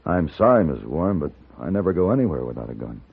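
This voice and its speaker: An actor playing a cultured, wry, self-assured American gunfighter who narrates and acts in a radio western. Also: male voice, low voice, sing-song, vocal fry, husky, no room sound, phone-quality sound, exaggerated voice. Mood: urbane, wry. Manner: urbane, measured, wry. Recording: thin 1950s radio recording, music behind.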